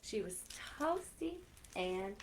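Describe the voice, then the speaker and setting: Lady doing kids story time, really lively up and down voice, talks right to the little ones. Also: mid-range voice, takes it slowly, a little hiss or hum, low-quality recording. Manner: expressive, addressing young listeners directly. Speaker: children's librarian and story time host. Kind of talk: animated read-aloud for children with chatty asides.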